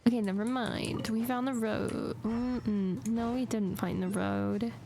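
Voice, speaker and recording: A woman's voice: fairly high pitch, fairly even intonation, slow, gravelly, background noise. A woman livestreamer giving casual, playful game commentary, often repeating phrases to herself. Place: livestream with game music behind.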